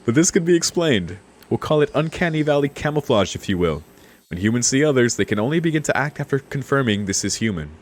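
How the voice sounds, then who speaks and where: A man's voice: mid-range voice, lively intonation, fast. A man streaming a let's play, amused and slangy, commenting casually and reading lines aloud.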